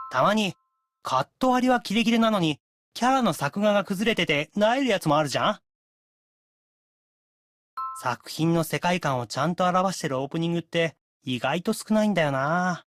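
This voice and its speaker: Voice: medium pitch, close to an everyday voice. A performer voicing a cocky, demanding young anime guy in Japanese style, haughty, teasing and a little sulky.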